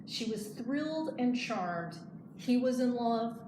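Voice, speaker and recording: A woman librarian reading a picture book aloud in an expressive storytelling style. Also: a little high, slow pace. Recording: echoey room, clear background noise.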